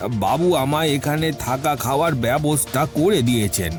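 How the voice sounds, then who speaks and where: A man's voice: mid-range voice, moderate pace, over music, lightly acted. A man playing an anxious, deferential older household servant in an audio detective drama, pleading and stammering. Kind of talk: audio detective story acting.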